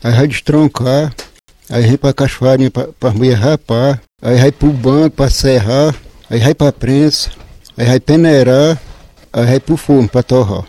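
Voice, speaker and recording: A man's voice: medium pitch, unhurried, warm tone. A man with a rural Northeastern Brazilian accent from Ceará, explaining things informally in plain colloquial speech as an interviewee. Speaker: interviewee, flour-house worker.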